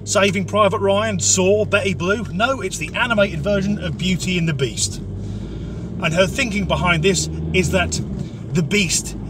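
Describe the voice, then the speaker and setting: A man with an English accent speaks in a notably high register over clear background noise. He rants comically as a commentator, blunt and sardonic, swearing freely as he gives his opinions. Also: normal speed. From Norwich area, England.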